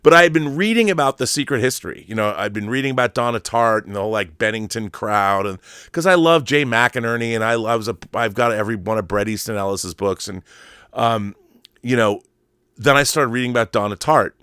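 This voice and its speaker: A man's voice: mid-range voice, fairly quick, a bit bright, smooth, dry recording, clean studio sound. A middle-aged man giving casual, rambling opinion commentary in an emphatic, conversational way, often digressing. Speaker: host of a film and book commentary show.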